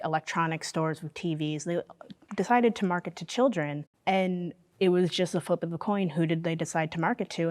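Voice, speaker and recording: A woman's voice: low voice, darkish tone. A woman speaking conversationally in a panel discussion, explaining her thoughts in a reflective way. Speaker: panel participant, gamer.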